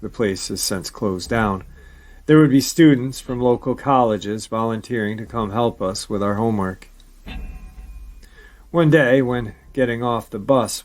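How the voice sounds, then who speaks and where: A man with a somewhat low voice reads viewer-submitted encounter stories for his paranormal channel. He keeps a steady storytelling pace and drops in brief casual asides between the tales.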